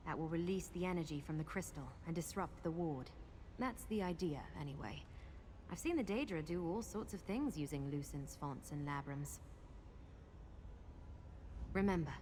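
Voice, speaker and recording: Low-voiced, resourceful adventurer woman played by an actress. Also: slight background noise, close to an everyday voice. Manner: explanatory, matter-of-fact. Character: confident, resourceful adventuring woman, practical guide. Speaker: companion guide character in a fantasy video game. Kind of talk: scripted video game dialogue.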